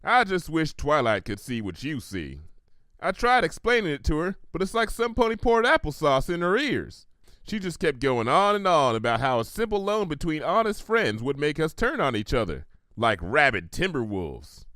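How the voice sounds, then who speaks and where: A man's voice: fairly high pitch, big pitch swings, normal speed, fairly bright tone, dry recording, clean studio sound, voice pushed up. Man voicing a proud Southern farm-girl cartoon pony. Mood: exasperated. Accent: Southern US country. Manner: folksy, drawling, emphatic. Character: proud, stubborn female country farm pony.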